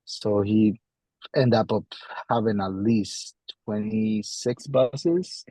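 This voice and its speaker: A man with a deep-leaning, mellow voice and a Guatemalan Spanish accent answers as a guest in a casual interview. He speaks haltingly, circling back over his phrases. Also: no echo, low-quality recording. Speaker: podcast guest, tradesman.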